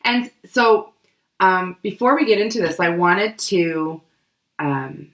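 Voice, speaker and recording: A woman co-hosting a book club podcast, hoarse and coughing from a cold, chatting casually with many fillers. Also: fairly low pitch, lively intonation, takes it slowly, fairly bright tone, reverberant. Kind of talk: casual explanatory chat.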